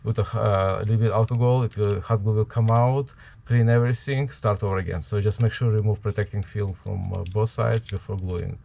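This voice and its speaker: A man with a heavy Ukrainian accent, giving a hands-on tutorial at a workbench in a halting way, full of fillers. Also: lowish voice, steady tone, gravelly, warm tone, slight background noise. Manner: halting, many fillers, talks while working. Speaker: prop maker.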